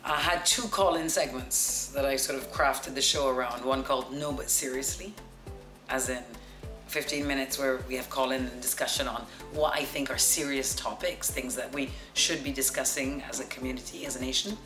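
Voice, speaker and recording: A woman in her forties with a deep voice, telling stories as an interview guest in a confident, practised broadcaster's way. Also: steady tone, moderate pace. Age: 40s. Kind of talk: relaxed storytelling interview. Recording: echoey room with music behind.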